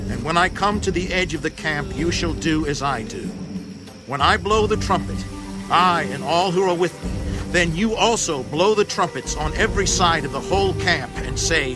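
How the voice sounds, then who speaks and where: An actor playing a resolute Israelite military leader in a dramatized scripture production, speaking in declamatory, deliberate phrases. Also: male voice, on the high side, animated, lightly acted. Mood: rousing command. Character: Gideon, commanding ancient Israelite military leader, earnest and resolute. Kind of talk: dramatized scripture, audio theater acting. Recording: orchestral music behind.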